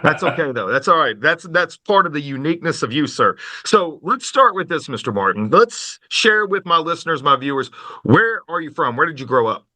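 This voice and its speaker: A man hosting a conversational podcast interview in a folksy, polite, animated manner. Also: fairly high pitch, a little fast. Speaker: podcast host.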